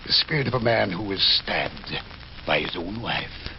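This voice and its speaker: Obsessed mad doctor, deep and swooping. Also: male voice, animated, normal speed. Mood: fanatical, exultant. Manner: intense, brooding, theatrical. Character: obsessed, half-mad doctor experimenting with raising the dead. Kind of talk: 1940s radio horror acting. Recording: muffled, noisy old radio recording.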